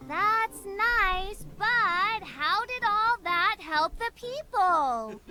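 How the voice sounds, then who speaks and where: An actress voicing an earnest puppet royal in a theatrical marionette show within a video game. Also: female voice, very high-pitched, expressive, very slow, pushed and bright, soft background music, heavily acted. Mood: indignant, earnest.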